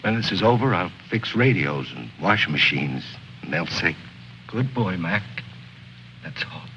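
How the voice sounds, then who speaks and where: A sardonic soldier in his thirties, restless and bitter. His voice is sharp-edged and very animated in pitch. It comes through a muffled, hissy old film soundtrack. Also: measured pace, exaggerated voice. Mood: bitter, driven. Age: 30s. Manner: brooding, insistent, sardonic. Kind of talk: mid-century American film drama acting.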